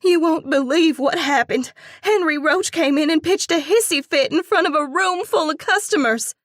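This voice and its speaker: A woman voicing an excitable, outraged young woman friend who talks in an indignant rush. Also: no echo, studio quality. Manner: rushed, indignant, high-pitched.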